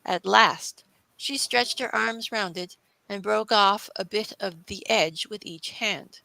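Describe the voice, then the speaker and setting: A woman's voice: mid-range voice, slow pace, creaky, sharp tone, dry recording, low-quality recording. A woman reading scripted storybook narration as the narrator of a classic tale.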